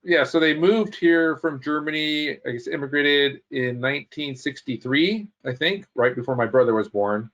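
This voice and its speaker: A man's voice: on the high side, moderate pace, few pauses, a bit bright, muffled recording. A man in his fifties, a guest on an interview show, telling his life story in a rambling, self-correcting way. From United States. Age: 50s. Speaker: podcast guest. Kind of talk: casual interview chat.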